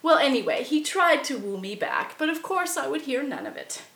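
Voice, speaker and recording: A woman playing the ghost of a murdered 1870s wife, telling her story theatrically, swinging from shrieks to hushed confiding. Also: high voice, sing-song, moderate pace, roomy sound, exaggerated voice. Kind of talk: costumed ghost monologue.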